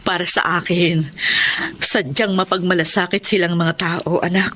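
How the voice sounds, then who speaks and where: Woman doing a middle-aged single mother, bright tone, earnest. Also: lowish voice, level tone, moderate pace. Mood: tender. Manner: earnest, emotional. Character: hardworking single mother, proud and wounded. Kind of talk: radio drama acting.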